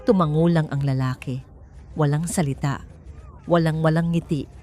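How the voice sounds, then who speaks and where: A woman with a Filipino accent narrating a scripted romance story in a dramatic, deliberate way. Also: low voice, steady tone, dark tone. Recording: music behind the voice. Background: background music.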